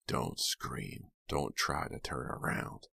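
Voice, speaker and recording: A menacing attacker, as acted by a male audiobook reader, hissing threats in a raspy whisper. The voice is breathy and cold, in a thriller story, with very dry sound. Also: rumbling low, takes it slowly, sharp tone, no echo, heavily acted. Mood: menacing, warning.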